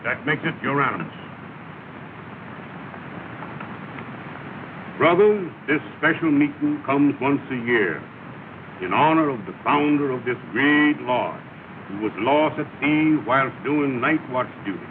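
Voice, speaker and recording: A man's voice: mid-range voice, expressive, normal speed, lightly acted. Man playing a pompous lodge leader, tinny old film. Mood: solemn, pompous. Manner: pompous, ceremonious, with malapropisms. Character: pompous, ceremonious male lodge leader presiding over meeting. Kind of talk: 1930s film comedy acting, formal speechifying. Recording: scratchy, tinny, noisy 1930 film soundtrack.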